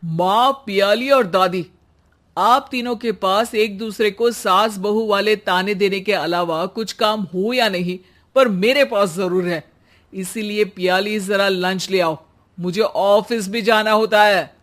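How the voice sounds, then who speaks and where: A man's voice: high voice, slow pace, a bit bright. A man voicing a harried young husband in a cartoon, coaxing and a bit impatient. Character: harried young husband Suraj, office worker. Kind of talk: cartoon voice acting.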